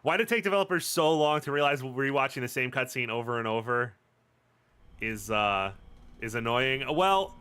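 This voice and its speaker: A man's voice: mid-range voice, lively intonation, moderate pace, smooth. A man giving casual gameplay commentary as a streamer, with a sardonic, critical and freely profane way of talking. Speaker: game streamer. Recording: loud game music behind voice. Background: loud game music and effects.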